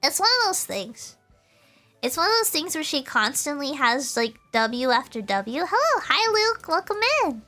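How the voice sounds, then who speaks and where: A woman with a very high, bright voice chats casually to her livestream audience. She is bubbly and giggly, tending to repeat little phrases as she goes. Soft music plays faintly behind her. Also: big pitch swings, normal speed. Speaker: VTuber livestreamer. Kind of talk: casual chatty livestream, talking to chat.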